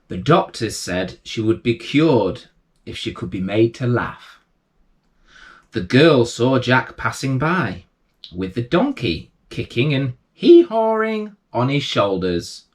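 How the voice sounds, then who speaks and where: A man hosting and reading children's bedtime stories in a gentle, reassuring manner. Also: mid-range voice. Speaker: bedtime story reader and host. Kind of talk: storytelling read aloud for children.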